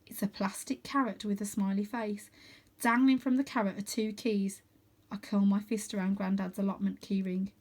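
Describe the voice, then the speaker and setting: A woman reading a children's adventure book aloud as storyteller, with expressive, dramatic emphasis. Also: mid-range voice, moderate pace.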